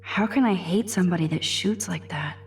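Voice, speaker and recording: A woman's voice: fairly low pitch, brisk, slight background noise, natural voice. A young American woman playing a wry, nerdy, curious student girl in a video game, with a quippy, musing delivery. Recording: music behind the voice.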